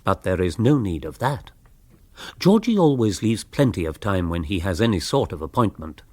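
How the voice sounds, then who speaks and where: Low, lilting English storyteller. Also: male voice, big pitch swings, normal speed, warm tone, no echo. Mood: wry. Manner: wry, urbane. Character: wry, urbane male author-narrator, gently mocking. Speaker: narrator. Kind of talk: scripted narration in comic radio drama.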